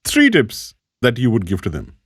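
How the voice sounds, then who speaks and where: A man's voice: mid-range voice, huge pitch swings, normal speed, husky, no room sound, studio quality. A man hosting an interview show, asking guided questions and repeating back the guest's points. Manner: leading, recaps the guest's points. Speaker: show host. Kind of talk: interview host.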